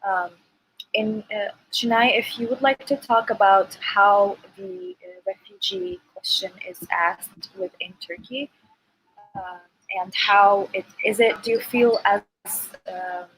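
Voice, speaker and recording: A woman's voice: mid-range voice, takes it slowly, a bit bright, slight background noise, low-quality recording. A woman moderating a webinar panel over a video call, putting questions to speakers hesitantly.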